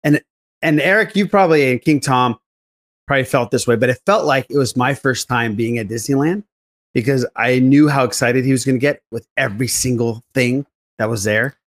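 A man's voice: medium pitch, a little fast. Man hosting a casual podcast chat. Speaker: podcast host.

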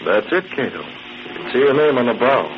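Man playing an assured, lively-toned radio crime-fighter hero. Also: medium pitch, moderate pace, close to an everyday voice. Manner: assured, terse, giving orders. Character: confident masked crime-fighter hero, commanding, brisk gentleman. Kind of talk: 1940s radio acting. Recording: very noisy muffled old radio recording.

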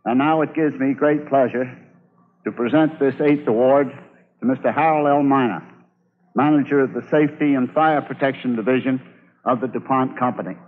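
A man's voice: mid-range voice, moderate pace. An American man delivering a formal prepared award speech on 1950s radio. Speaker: safety organization official giving award speech. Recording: tinny old radio, long hall reverb.

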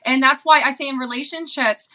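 A woman speaks with a strikingly bright, sharp voice, pitched a little high. She shares a personal testimony of faith in a casual style. Her delivery is earnest and impassioned, with words and phrases often repeated. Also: steady tone, a little fast.

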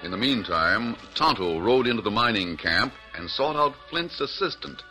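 A man's voice: on the low side, animated, moderate pace, over music. A man narrating an adventure in a dramatic, scripted storytelling style.

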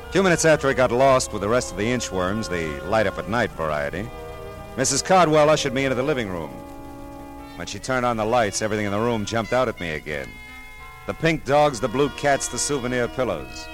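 An American actor playing a dry, persistent private detective in an old radio drama, with a wry, clipped, hard-boiled delivery. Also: male voice, fairly low pitch, close to an everyday voice. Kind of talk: 1940s-50s radio detective acting with first-person narration. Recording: old radio show, faint music.

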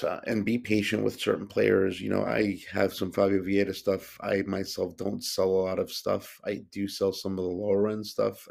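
A man's voice: fairly low pitch, vocal fry, darkish tone, husky. A man hosting a solo podcast, talking casually and rambling with lots of fillers and restarts. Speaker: podcast host.

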